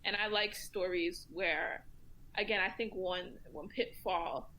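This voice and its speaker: A woman's voice: on the low side, fairly even intonation, slow, sharp tone, slight background noise, rough recording. A woman speaking as a podcast guest, reflective and casual, thinking aloud as she talks. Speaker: podcast guest, community commentator. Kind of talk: casual round-table discussion, thinking aloud.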